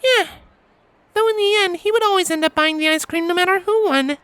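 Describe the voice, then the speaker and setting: A woman's voice: extremely high pitch, a little fast, fairly bright tone, no echo. A woman voicing a cheerful young female pony in an audio story, chirpy and giggly with a sweetly teasing, cooing manner. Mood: warm, curious. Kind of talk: fan fiction audio story character acting.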